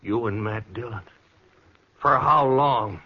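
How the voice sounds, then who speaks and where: A man's voice: medium pitch, takes it slowly. An American man playing a jealous, hot-headed killer in a 1950s radio western, bitter and agitated. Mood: jealous, hurt. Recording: dull, noisy 1950s radio recording.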